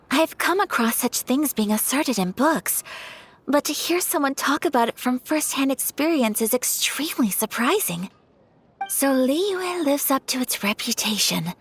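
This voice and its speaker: A woman's voice: high voice, husky, heavily acted. A woman voicing a graceful, polite young noblewoman in a game, speaking formally and courteously.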